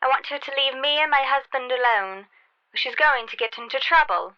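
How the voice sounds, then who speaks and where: An actress as a stern, threatening wife. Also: female voice, sits high, fairly quick, lo-fi sound, natural voice. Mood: stern warning. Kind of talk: old radio mystery drama acting.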